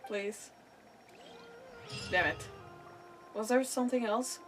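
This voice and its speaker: A woman's voice: on the high side, takes it slowly, faint music behind. A woman giving casual, rambling game commentary as a streamer, often interrupting herself. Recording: distant mic, game noise behind.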